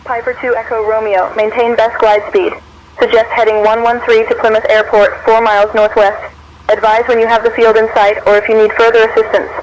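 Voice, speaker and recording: A woman's voice: a little high, flat delivery, fairly quick, poor audio. A synthetic woman's voice playing an unruffled air traffic controller, giving formal instructions over a radio. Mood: composed, formal.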